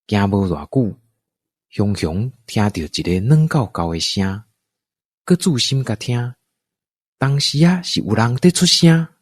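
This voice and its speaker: A man's voice: on the low side, expressive, measured pace, husky, no room sound. A man with a Taiwanese accent narrating a scripted radio drama as a storyteller.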